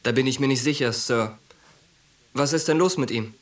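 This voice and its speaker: An actor playing a wary young army sergeant in a radio drama, dry and guarded, a loyal friend. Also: male voice, mid-range voice, moderate pace, close to an everyday voice. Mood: wary, respectful. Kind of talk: German radio drama acting.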